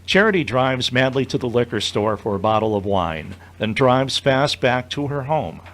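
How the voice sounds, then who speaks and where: A man's voice: medium pitch, animated, moderate pace, fairly bright tone. A man narrating the scripted action of an audio drama.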